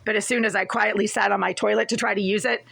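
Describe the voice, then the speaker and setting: Raspy, breathless woman ranting. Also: mid-range voice, steady tone, fast, a bit bright. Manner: agitated, relentless, repetitive listing. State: short of breath. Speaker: tenant recording a complaint. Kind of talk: angry first-person complaint vlog. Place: small apartment bathroom, then hallway.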